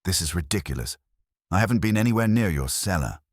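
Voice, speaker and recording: A man's voice: sits low, animated, fast, dry recording, clean studio sound, voice pushed up. A man voicing a tense, defensive woman suspect in a mystery audiobook, indignant and faltering.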